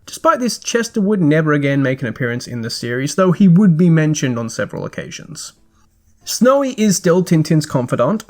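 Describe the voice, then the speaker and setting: A man hosting a podcast, reading a scripted review in a measured, articulate way with dry, wry asides. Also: fairly high pitch.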